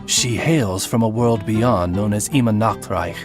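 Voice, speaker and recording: A man's voice: lowish voice, unhurried, natural voice. A man voicing a courteous, refined talking raven familiar. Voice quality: polite, formal, refined. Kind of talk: video game voice acting. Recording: game cutscene with music.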